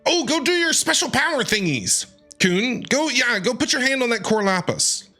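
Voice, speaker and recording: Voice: mid-range voice, sing-song, normal speed, bright, forceful tone, faint music behind. A streamer giving casual, teasing, slangy commentary while reacting to a game. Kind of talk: casual playful gaming commentary.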